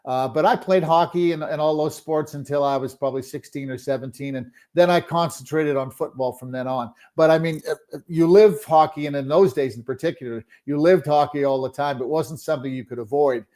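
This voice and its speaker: A man's voice: a little high, fairly quick, darkish tone. Seventy-year-old man telling hockey stories. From Montreal, Quebec, Canada. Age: 70s. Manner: hesitant, many uh fillers and restarts, chuckles often. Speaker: guest, sports broadcaster and author. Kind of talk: relaxed interview storytelling.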